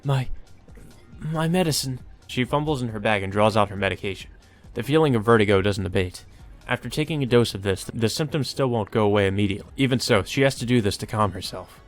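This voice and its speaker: A man's voice: fairly low pitch, fast talker, few pauses, soft background music. A man hosting a Let's Play, reading a visual novel aloud with casual commentary.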